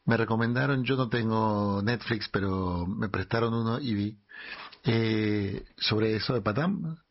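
Raspy-voiced male show host. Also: lowish voice, unhurried. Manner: addresses the guest politely as "padre", prompts and agrees. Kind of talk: casual chat on a religious program. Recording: dull lo-fi recording.

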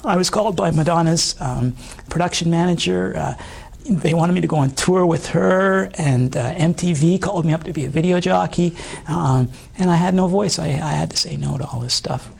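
A middle-aged man with a hoarse voice, giving an earnest, halting personal testimony as an interview guest. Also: a little high, darkish tone. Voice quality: hoarse voice from old vocal damage. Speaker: interview guest, former stage singer.

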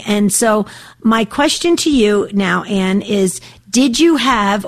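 A woman hosting a faith call-in radio show, enthusiastic and full of fillers, her voice slightly raspy from illness. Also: mid-range voice. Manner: warm, enthusiastic, many fillers. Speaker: radio host.

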